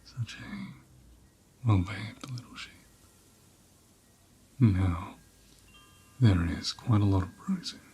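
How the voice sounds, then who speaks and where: A man playing a gentle, caring ram in an ASMR roleplay, speaking in a soft, breathy half-whisper with a soothing, tender manner. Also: lowish voice, takes it slowly, pauses often, exaggerated voice. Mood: tender, attentive. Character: gentle, caring male ram tending an injured lamb.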